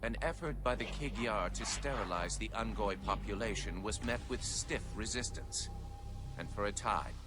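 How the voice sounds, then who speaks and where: A man's voice: on the low side, moderate pace, loud music behind the voice, lightly acted. A man playing a solemn alien chronicler who recounts war history in a grave, stately, formal style of dramatic narration. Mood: grave, proud.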